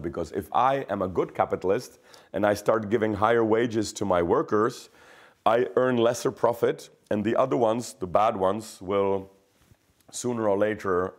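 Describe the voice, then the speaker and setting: A man from former Czechoslovakia, explaining ideas in an interview, thoughtful and hesitant with fillers and restarts. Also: low-pitched. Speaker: interviewed economist.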